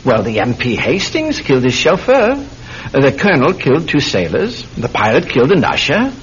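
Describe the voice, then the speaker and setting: Animated, inquisitive young nephew, old radio. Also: male voice, normal speed. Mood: tentative, keen. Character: eager, inquisitive young nephew, amateur sleuth. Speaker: actor in a radio play. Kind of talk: classic radio drama acting. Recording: dull, rumbling, noisy old radio recording.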